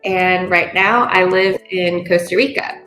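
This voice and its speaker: A woman from California giving measured, thoughtful travel advice. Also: on the low side, steady tone, a bit bright, faint music behind. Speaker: interviewee. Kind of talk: casual recommendations. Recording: echoey room.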